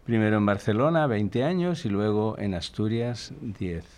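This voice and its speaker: A man in his sixties from Chile, answering interview questions in a thoughtful, hesitant way and often pausing to search for words. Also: fairly low pitch, animated, darkish tone. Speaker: guest, father of a large family.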